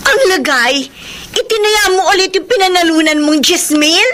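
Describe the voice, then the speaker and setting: An actress playing a nagging, scornful, money-hungry wife in a radio drama, with loud complaints. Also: female voice, extremely high pitch, expressive, normal speed. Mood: demanding, exasperated. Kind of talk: Tagalog radio drama acting.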